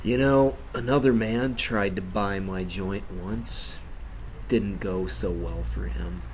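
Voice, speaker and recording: An actor playing a dry, defiant speakeasy owner in an old-time radio drama. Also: male voice, lowish voice, steady tone, slow pace, a little hiss or hum. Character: stubborn speakeasy owner, grown man, wry.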